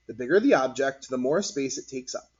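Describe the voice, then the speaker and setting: Animated husky man reading a children's book. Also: mid-range voice, fairly quick. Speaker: read-aloud storyteller. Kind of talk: animated picture-book read-aloud for children. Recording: dull, lo-fi recording.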